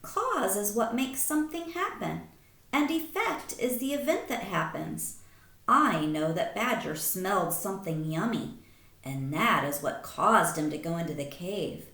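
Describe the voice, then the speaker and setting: Slow, animated woman reading to children. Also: medium pitch. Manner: expressive, deliberate storytelling. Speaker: teacher reading aloud. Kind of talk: children's picture-book read-aloud with explanations. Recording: roomy echo.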